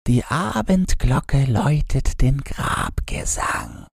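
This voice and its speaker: A man voicing solemn, mournful hyacinth flowers in a fairy tale, in a hushed, swaying recitation. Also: medium pitch, very animated, slow, gravelly, husky, no room sound, clean studio sound, full character voice.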